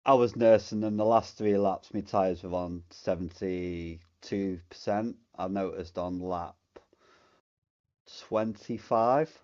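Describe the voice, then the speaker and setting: Male sim racer, very low and dark voice, relaxed and plain, lo-fi voice chat. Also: slow pace. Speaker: race winner interviewed. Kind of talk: casual post-race interview.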